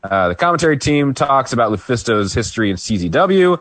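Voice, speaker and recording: Lively man recapping wrestling. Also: mid-range voice, a little fast, no room sound. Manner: lively, rambling play-by-play with asides. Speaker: podcast co-host. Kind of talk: casual wrestling review chat.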